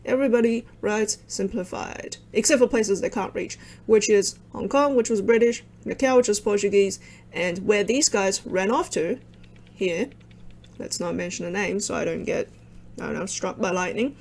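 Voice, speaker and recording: A young woman, Cantonese her first language, talks through maps in a loose, rambling way, often breaking off into wry asides. Her voice sits in a middling register with plenty of gravelly vocal fry. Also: medium pitch, moderate pace, a little mellow, a little hiss or hum. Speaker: video creator explaining with maps. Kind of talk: casual informal explainer over maps.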